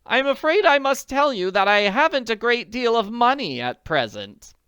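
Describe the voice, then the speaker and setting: A woman voicing an agitated, elegant middle-aged woman in a storybook, pleading in a breathless, drawn-out way. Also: on the high side, sing-song, a bit bright, smooth, no room sound, studio quality, pitched above the performer's own voice. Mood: distressed. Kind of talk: audiobook character voice.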